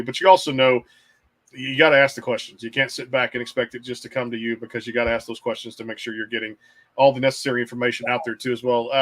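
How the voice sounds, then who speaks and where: A man's voice: medium pitch, a bit bright. A middle-aged man hosting an interview show, talking in a rambling way and repeating phrases. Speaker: podcast host.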